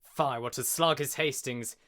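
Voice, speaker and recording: A man's voice: mid-range voice, unhurried, pushed and bright. A man playing a weary, outspoken youthful prince, speaking formal verse with a petulant edge in a Shakespearean audio drama.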